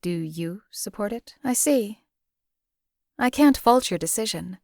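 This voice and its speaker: An actress voicing a cold, detached female hired assassin for a game, speaking in a measured, formal, deadpan way. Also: mid-range voice, vocal fry, dry recording, clean studio sound. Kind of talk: game companion voice lines.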